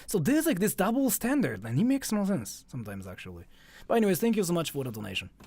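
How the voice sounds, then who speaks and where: A man's voice: high voice, wildly swooping pitch, fast, a little mellow. A man from Japan with a Japanese accent, chatting casually and jokingly as a livestream host, informal and rambling.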